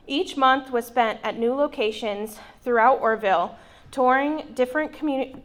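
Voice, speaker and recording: A woman giving an earnest prepared speech about a local leadership program she completed. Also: a little high. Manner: earnest, read-from-notes delivery. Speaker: program graduate and committee member. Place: event room at a college.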